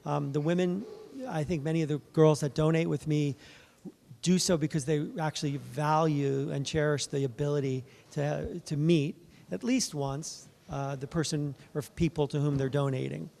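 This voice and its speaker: A man, a fertility doctor, giving a soft-spoken, conversational talk at a conference, with many fillers and restarts. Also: a little high, steady tone, moderate pace, gravelly. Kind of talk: conference presentation. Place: conference room.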